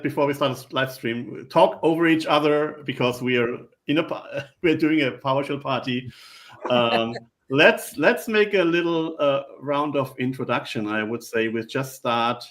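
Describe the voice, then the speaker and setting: A man's voice: a little high, fairly bright tone. A man hosting a livestream panel over a video call, friendly and rambling, with many fillers. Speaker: livestream host.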